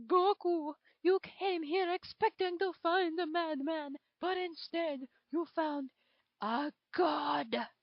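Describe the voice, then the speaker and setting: A woman's voice: very high-pitched, takes it slowly, no room sound, exaggerated voice. A woman voicing a gloating male dictator villain in a story reading, taunting and grandiose in manner. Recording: dull lo-fi home recording.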